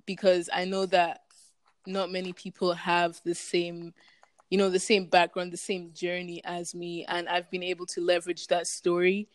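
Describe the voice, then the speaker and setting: Young woman, german was her first language, lowish smooth voice, pretty flat, calm. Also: no echo. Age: young adult. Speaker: podcast guest, university student. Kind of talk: casual interview answers.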